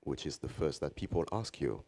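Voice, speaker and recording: Man, Belgian French accent, native French speaker, very deep voice, conference presenter, deliberate pauses. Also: big pitch swings, gravelly, a little mellow. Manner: measured, deliberate, with frequent pauses.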